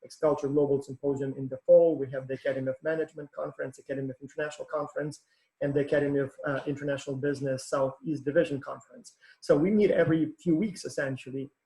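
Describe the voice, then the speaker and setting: A man's voice: medium pitch, steady tone, fast, warm tone, rough recording. A man with a Ukrainian accent, an associate professor, introducing himself on a video call in a matter-of-fact way, listing numbers and facts. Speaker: associate professor, program founder.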